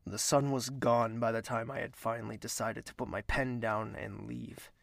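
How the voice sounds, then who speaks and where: A man's voice: mid-range voice, little pitch movement, normal speed, creaky, fairly bright tone, no echo, studio quality. An actor in an audio drama playing an anxious, lovesick nobleman, hesitant and brooding as he talks and narrates. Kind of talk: audio drama dialogue and first-person narration.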